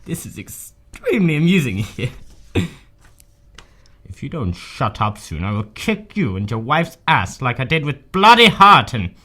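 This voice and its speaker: A man voicing a surly, brooding man who bursts out in gruff, exasperated complaints in an amateur radio drama. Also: medium pitch, sing-song, unhurried, bright, forceful tone, exaggerated voice. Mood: irritated.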